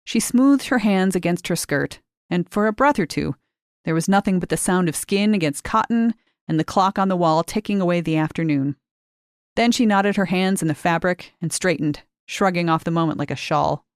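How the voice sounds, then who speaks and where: A woman's voice: lowish voice, normal speed, dry recording, clean studio sound. Woman reading a story aloud. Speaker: narrator. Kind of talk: audiobook fiction reading.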